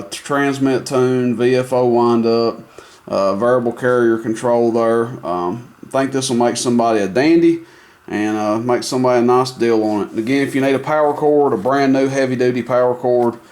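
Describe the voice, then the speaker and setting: Male seller, casual bench demo, easygoing and chatty, lots of fillers, average pitch. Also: a little mellow. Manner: easygoing, rambling with many fillers. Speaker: CB radio seller.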